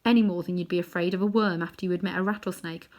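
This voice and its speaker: A woman reading a children's novel aloud in chapters, adding gentle asides to her listeners. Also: fairly low pitch, fairly even intonation, rapid-fire. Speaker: storybook reader.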